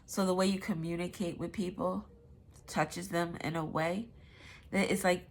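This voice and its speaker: A woman's voice: on the low side, fairly even intonation, normal speed, vocal fry, slight background noise. A woman with a stuffy nose giving a casual, rambling tarot reading, swearing now and then. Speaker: tarot reader.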